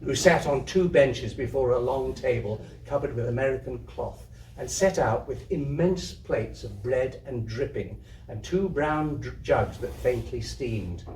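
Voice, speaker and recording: A man giving an expressive live reading of literary fiction. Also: medium pitch, measured pace. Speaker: reader, amateur theatre troupe member. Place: indoor community hub event. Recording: roomy, distant mic, faint noise.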